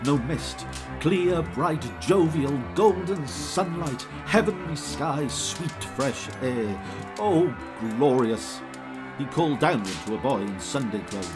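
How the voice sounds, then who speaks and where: A man narrating an audio drama of a literary classic, expressive and measured in his storytelling. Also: medium pitch. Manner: expressive, measured, old-fashioned literary phrasing. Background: music.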